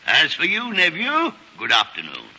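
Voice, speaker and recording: Grumpy bitter old miser, sharp snapping voice, old 40s radio drama, muffled and hissy. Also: male voice, fairly high pitch, wildly swooping pitch, moderate pace, heavily acted. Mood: angry, snapping. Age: older. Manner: grumbling, blustering, snapping. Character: bitter old male miser, irascible and scornful. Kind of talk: 1940s radio acting.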